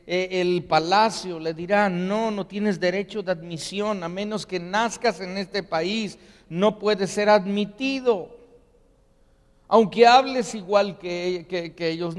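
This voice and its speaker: A man's voice: high-pitched, big-room sound. A man preaching a sermon in earnest, often stopping to ask rhetorical questions. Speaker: pastor. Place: church sanctuary.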